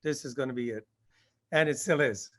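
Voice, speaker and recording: A man in his sixties, born in Indonesia, answering interview questions about his past and recalling it haltingly with many fillers. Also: mid-range voice, fairly bright tone. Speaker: guest, skydiving instructor.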